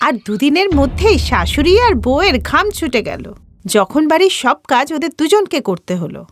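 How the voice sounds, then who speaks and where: A woman's voice: sits high, big pitch swings, normal speed, dry recording. A woman narrating a scripted moral tale for a cartoon, as the storyteller.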